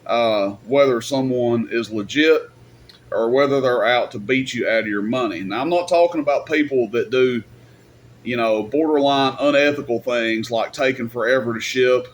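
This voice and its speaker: Male, mid-pitched, casual rambling video host, plain-spoken delivery, some background noise. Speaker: YouTube host and radio equipment seller. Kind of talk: casual off-the-cuff advice talk.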